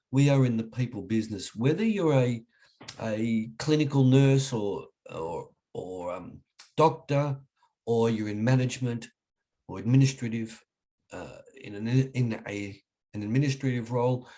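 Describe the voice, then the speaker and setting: A middle-aged man with an Australian accent, husky from a cold, giving an online webinar lecture in a halting way with many restarts. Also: mid-range voice, expressive, dark tone. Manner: halting, frequent restarts and fillers. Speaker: webinar presenter.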